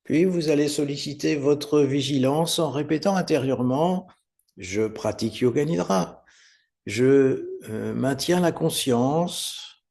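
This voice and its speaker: A man teaching guided meditation, giving unhurried, soothing step-by-step instructions with pauses. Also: fairly high pitch, vocal fry, darkish tone. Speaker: yoga nidra meditation teacher.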